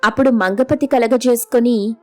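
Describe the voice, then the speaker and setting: A woman's voice: on the high side, moderate pace, dark tone, faint music behind. A woman storyteller narrating a scripted moral tale.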